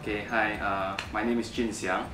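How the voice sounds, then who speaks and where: A man, a software engineer, speaking hesitantly with many fillers. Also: fairly low pitch, little pitch movement, moderate pace, background noise. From Singapore. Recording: roomy, distant mic. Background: background music.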